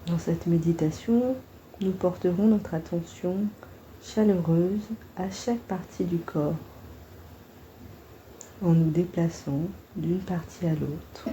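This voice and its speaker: A woman guiding a body-scan meditation in a gentle, soothing, unhurried manner, leaving long pauses between phrases. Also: on the low side, a little hiss or hum, low-quality recording.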